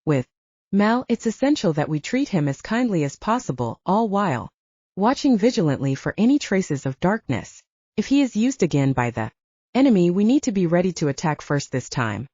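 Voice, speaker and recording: A woman reader plays a composed male leader in an audiobook fantasy. He speaks formally and with authority, in a soft dark tone that moves freely in pitch. The recording is dry and slightly dull. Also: sing-song, normal speed, dry recording. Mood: earnest, commanding.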